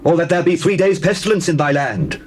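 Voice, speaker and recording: An actor playing an urgent, forceful biblical figure in a scripture drama, with declamatory delivery. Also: male voice, on the high side, animated, a little fast, close to an everyday voice. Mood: stern urging. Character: urgent, forceful biblical man of high station. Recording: faintly noisy old cassette tape.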